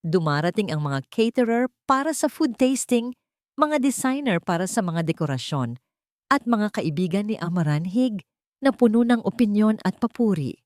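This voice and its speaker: A woman's voice: mid-range voice, darkish tone, no echo, clean studio sound. A woman reading a scripted, dramatic love story as its narrator.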